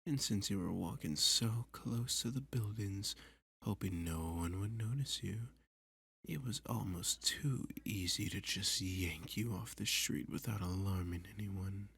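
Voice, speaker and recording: A very deep-voiced villain played by a man in an intimate ASMR roleplay, heavily husky and often dropping into a whisper. He takes his time with every threat, flirty and domineering, taunting his hostage with condescending ease. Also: a bit bright, no echo, heavily acted. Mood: mocking, flirty. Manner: slow, domineering, teasing, condescending.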